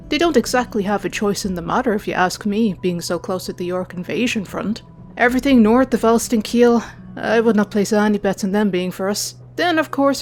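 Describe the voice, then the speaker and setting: A woman's voice: medium pitch, natural voice. A woman playing a blunt, opinionated female first sergeant at a war council in a fantasy audiobook. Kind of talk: audiobook character acting.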